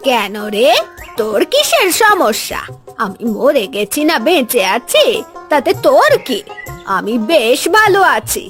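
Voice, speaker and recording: A woman's voice: high voice, wildly swooping pitch, unhurried, fairly bright tone, soft background music, full character voice. A woman playing a scolding old village woman. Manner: taunting, harsh scolding.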